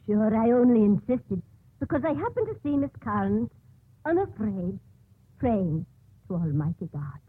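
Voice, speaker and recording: An actress playing a modest, plain-spoken, pious nun with a husky voice in 1950s radio drama. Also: female voice, medium pitch, very animated, measured pace, no room sound. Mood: modest, reverent. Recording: dull, dry old radio sound.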